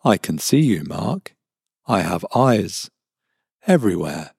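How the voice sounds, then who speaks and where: A man voicing a smart-speaker AI that speaks in a flat, measured, politely menacing way. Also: sits low, creaky, breathy edge, no room sound, clean studio sound, full character voice. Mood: cold, polite. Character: cold watchful smart-speaker AI, male.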